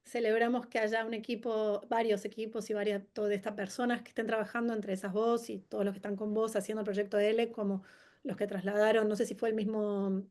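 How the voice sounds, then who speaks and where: A woman hosting a webinar interview, talking in a halting, conversational way with many restarts. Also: medium pitch, flat delivery. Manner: warm-hearted, halting with many restarts and fillers. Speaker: webinar host.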